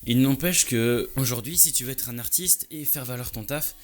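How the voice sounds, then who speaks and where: A man's voice: medium pitch, moderate pace, soft background music, lightly acted. A man playing a passionate, touchy lynx who owns a photo lab, talking in an informal, slangy way in an audio story. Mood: conceding, insistent. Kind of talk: French audio story dialogue.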